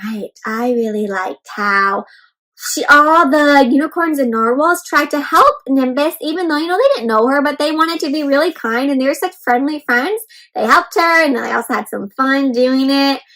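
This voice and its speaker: High-voiced woman reading aloud to children. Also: normal speed. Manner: animated, asks listeners questions, addresses them as friends. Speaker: children's read-aloud host. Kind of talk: interactive picture book read-aloud for young children.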